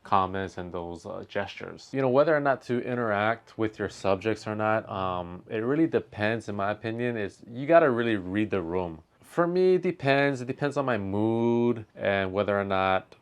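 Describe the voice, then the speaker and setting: A man's voice: on the low side, normal speed, hardly any pauses, clean tone. A man hosting a reaction video, thinking aloud in casual commentary and talking straight to the viewers. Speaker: reaction video host, street photographer.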